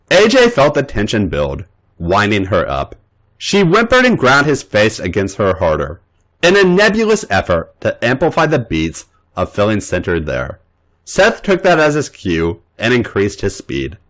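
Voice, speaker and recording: A man hosting a podcast, reading fan fiction aloud in an expressive storytelling manner. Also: lowish voice. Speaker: podcast host and reader.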